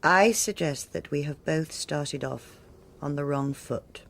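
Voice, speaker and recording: An actress playing a stern, self-assured senior policewoman with a British accent, speaking in a measured, formal and authoritative way in a radio drama. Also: low-pitched, creaky, a bit bright, full character voice. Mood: formal, cool. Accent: British English.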